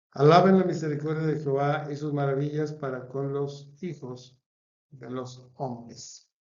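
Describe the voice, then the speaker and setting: Mexican preacher in an echoey room. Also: male voice, fairly high pitch, highs cut off. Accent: Mexican Spanish. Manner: earnest, repetitive listing cadence. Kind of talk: sermon.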